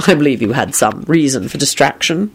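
A woman voicing a cool, dry-humoured male minister who speaks flatly and firmly, in a storybook reading. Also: a bass voice, a little fast, husky, heavily acted.

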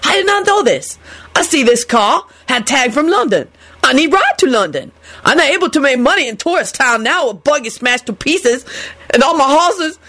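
A woman's voice: high-pitched, sing-song, moderate pace, sharp tone, pitched above the performer's own voice, exaggerated voice. Woman doing a put-upon buggy driver man. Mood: sullen, haughty. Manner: broken English, put-upon.

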